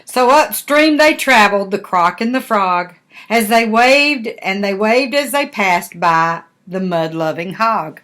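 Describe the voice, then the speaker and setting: Older female storybook reader, very measured pace, medium pitch, folksy asides. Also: fairly bright tone. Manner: folksy, asking the listener questions. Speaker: grandmother reading a picture book to grandchildren. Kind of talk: storybook read-aloud with chatty asides.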